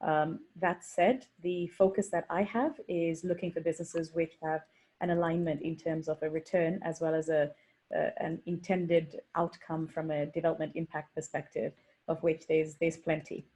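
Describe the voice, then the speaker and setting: A woman investor introduces herself on an online panel over a video call. She speaks in an articulate, businesslike way with occasional ums. Her voice is a little low. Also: level tone, moderate pace, warm tone.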